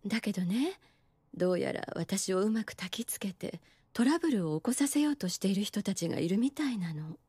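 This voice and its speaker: Composed, husky-soft anime nurse. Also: female voice, medium pitch, very animated, brisk, dry recording. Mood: wistful, resigned. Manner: gentle, coy, feminine phrasing. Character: composed adult nurse, softly teasing, wistful. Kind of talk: anime drama dialogue.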